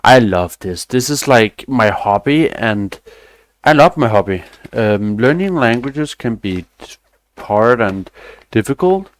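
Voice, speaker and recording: A man's voice: medium pitch, lively intonation, takes it slowly. A young man, chatting loosely on a stream, rambling and swearing casually with self-deprecating asides. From Denmark. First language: Danish.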